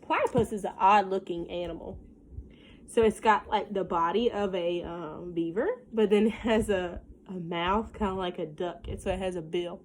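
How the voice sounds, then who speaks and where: A woman reading a picture book aloud to young children like a teacher, in an expressive sing-song way with simple questions and asides. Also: mid-range voice, normal speed, slight background noise, low-quality recording.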